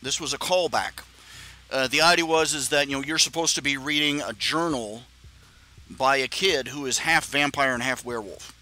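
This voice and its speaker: A man's voice: medium pitch, moderate pace, sharp tone, low-quality recording. A man hosting a live stream, talking in a casual rambling monologue with many stammering restarts and chuckling asides.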